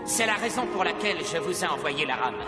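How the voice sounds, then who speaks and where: A man voicing a calm, matter-of-fact solitary stranger in a French-dubbed cartoon. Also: on the high side, fast, lightly acted. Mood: helpful. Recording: echoey reverberant soundtrack, distant, loud music.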